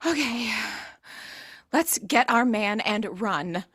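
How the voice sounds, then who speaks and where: A woman playing a bossy, sharp, impatient rescue team member in a comic audio play, commanding and exasperated. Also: fairly high pitch, animated, takes it slowly, noisy background. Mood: brisk, dry.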